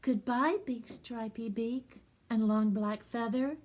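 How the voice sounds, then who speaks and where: A small puffin chick with a high, bright little voice, as a woman reading aloud puts him on. He is eager and full of questions, and speaks in a slow storybook manner. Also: steady tone.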